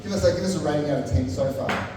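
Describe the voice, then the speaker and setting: A man hosts a live comedy night, teasing the crowd in loose, stumbling banter. His voice sits a bit higher than most men's. He is picked up from far off in a big, echoing hall with a long reverb tail and an audience murmuring around him. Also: racing. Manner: teasing, chatty, stumbling over repeated words. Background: audience in the room.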